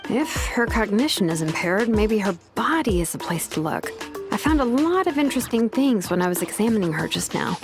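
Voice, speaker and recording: A woman's voice: mid-range voice, big pitch swings, fairly quick. A woman playing a curious young inventor in a video game, keen, casual and analytical, with music behind her. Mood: musing. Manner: musing, analytical. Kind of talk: game voice acting.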